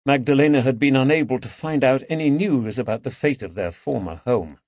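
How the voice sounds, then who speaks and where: A man narrates a historical detective novel in a scripted audiobook style. His pitch sits mid-range for a male voice, and the recording is dry and somewhat dull. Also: brisk, darkish tone, no room sound.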